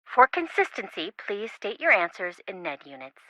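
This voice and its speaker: A woman's voice: mid-range voice, big pitch swings, moderate pace, pushed and bright, no echo, poor audio. An actress playing a sassy young woman, a wisecracking best friend in a video game, with a teasing, sarcastic manner. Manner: teasing, sarcastic, dryly exaggerated.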